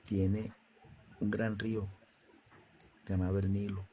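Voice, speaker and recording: A man speaking as an elder religious guest, lecturing in a measured, deliberate way. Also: fairly low pitch, little pitch movement, warm tone, slight background noise. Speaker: elder Ifá priest guest. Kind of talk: slow teaching talk.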